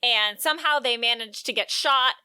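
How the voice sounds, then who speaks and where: A woman co-hosting a podcast, recapping a story conversationally with animated delivery and wry asides. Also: on the high side, normal speed, clear, resonant tone, no echo. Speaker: podcast co-host.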